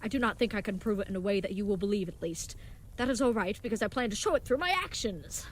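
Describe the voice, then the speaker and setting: A woman's voice: medium pitch, expressive, fast, a little hiss or hum, close to an everyday voice, low-quality recording. A woman playing an earnest, naive and brave young leatherworker in an audio drama, speaking in formal, chivalrous, contraction-free phrases. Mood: earnest, reassuring. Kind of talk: scripted audio drama acting.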